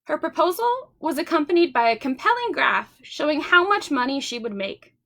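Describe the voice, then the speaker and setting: A woman's voice: high-pitched, very animated, moderate pace, sharp tone. A woman hosting a children's storytime, reading aloud expressively and speaking simply to young listeners. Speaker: library storytime host. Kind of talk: children's picture-book read-aloud.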